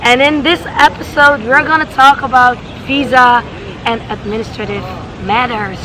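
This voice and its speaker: A woman's voice: a little high, moderate pace, a faint crowd, poor audio. A young woman from the Netherlands and Thailand, hosting a casual interview and asking prepared questions. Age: young adult. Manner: asks scripted questions, relaxed follow-ups. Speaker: host. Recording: outdoor traffic noise.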